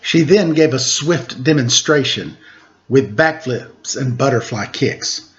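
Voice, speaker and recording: A man narrating a rhyming children's storybook in an expressive reading style. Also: medium pitch, slow, slight background noise. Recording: slightly dull, lo-fi sound.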